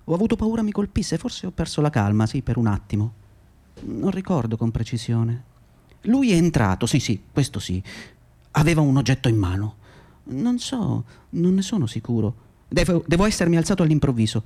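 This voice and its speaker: An actor playing a condescending, self-justifying labour agency manager in a radio drama, with patronising, hesitant, repetitive speech. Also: male voice, medium pitch, very animated, moderate pace, dark tone. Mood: defensive, flustered. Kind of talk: radio drama monologue and dialogue.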